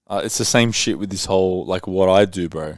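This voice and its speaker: A man's voice: sits low, brisk, no room sound, clean studio sound. A man in his thirties hosting a podcast, talking bluntly and emphatically with a lot of swearing. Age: 30s. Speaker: podcast host. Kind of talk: candid conversation.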